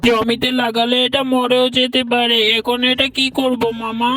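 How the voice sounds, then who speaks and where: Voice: mid-range voice, level tone, normal speed, pushed and bright, close to an everyday voice. A performer voicing a poor, humble male fox labourer in a cartoon, earnest and plaintive, with a woman's-sounding voice. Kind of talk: cartoon voice acting. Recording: distant voice with music underneath.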